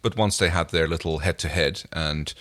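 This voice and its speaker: A man co-hosting a podcast, discussing a TV episode casually in a measured, matter-of-fact, explanatory manner. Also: extremely low pitch, fairly even intonation, a bit bright, dry recording. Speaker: podcast co-host.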